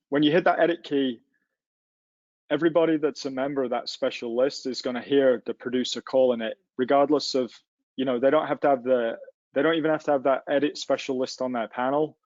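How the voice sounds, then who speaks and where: A man's voice: mid-range voice, a little mellow, dry recording, dull audio. A man teaching a live technical webinar, explaining things informally and step by step with hands-on examples. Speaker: instructor in a technical software tutorial. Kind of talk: casual live webinar walkthrough. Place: livestreamed online session.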